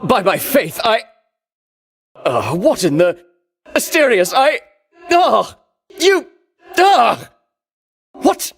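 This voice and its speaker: Casting: a boastful ancient Greek champion king in a game, high ringing voice, theatrical pomp, wildly swooping intonation. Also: very animated, drawn-out words, vocal fry, pauses often, slightly rough, exaggerated voice. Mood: flustered outrage. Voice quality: ringing, declamatory. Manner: pompous, archaic grandiose diction. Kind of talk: theatrical video game voice acting.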